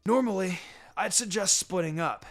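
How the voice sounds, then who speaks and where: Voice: medium pitch, normal speed, natural voice. A narrator voicing a determined, upbeat twelve-year-old ninja boy, with music behind. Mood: winded.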